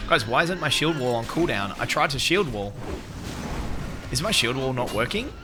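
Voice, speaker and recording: Excitable man streaming a game. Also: mid-range voice, normal speed, over music. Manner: excitable, swears, shouts out chat members. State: recovering from losing his voice. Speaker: live-streamer playing a game as tank. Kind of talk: casual gaming stream chat.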